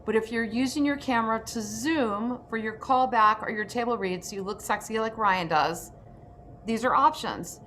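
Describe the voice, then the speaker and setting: A woman's voice: fairly high pitch, normal speed, a bit bright, slight background noise. A woman hosting an informal online program, explaining gear in plain conversational language. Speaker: host. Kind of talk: informal presenting to an audience of actors.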